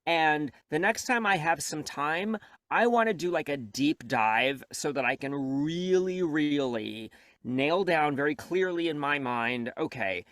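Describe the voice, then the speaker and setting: A man, an audiobook narrator and coach, talking as a guest in a candid interview. He is reflective and self-deprecating, and he speeds up when he gets animated. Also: a little high, a little slow, no room sound, studio quality.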